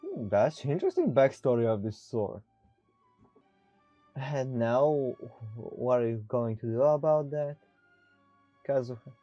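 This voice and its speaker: A man's voice: medium pitch, sing-song, unhurried, pauses often, no echo, muffled recording, faint music behind. A man giving casual commentary as he plays a video game, recorded on a close microphone. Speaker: gamer commenting on his playthrough.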